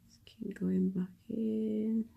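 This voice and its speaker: Sniffly young woman, gravelly voice. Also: mid-range voice, little pitch movement, slow pace, phone-quality sound. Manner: chatty, self-deprecating, drops into whispers while working. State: sniffly from a cold. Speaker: makeup tutorial vlogger. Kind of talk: casual makeup tutorial chat.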